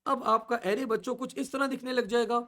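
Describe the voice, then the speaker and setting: A man teaching an online coding class, asking the class questions and answering them himself as he steps slowly through each value. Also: very high voice, steady tone, a bit bright. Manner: slow and repetitive, stepping through values. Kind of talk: online coding lesson in mixed Urdu and English.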